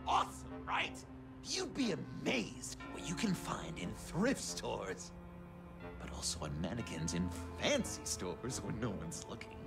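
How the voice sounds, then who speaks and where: A man's voice: lowish voice, huge pitch swings, measured pace, gravelly, full character voice. A man playing an unhinged, flamboyant and petulant young villain in a video game, theatrical and giggly, moving between whispers and outbursts. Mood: eager, playful. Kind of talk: video game character acting. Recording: loud music underneath.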